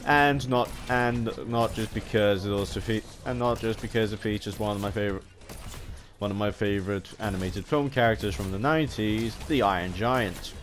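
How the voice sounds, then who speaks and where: A man giving unscripted, rambling commentary as a gaming video host, halting and often restarting his sentences mid-word. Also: lowish voice. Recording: home recording with background noise.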